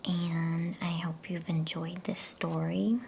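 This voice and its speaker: A woman whispers in a low, slightly creaky voice, gentle and soothing. She takes her time over every phrase in an ASMR bedtime-story style, breathy and unvoiced, with faint noise behind her. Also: little pitch movement, slow. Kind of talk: whispered ASMR reading aloud of a children's novel.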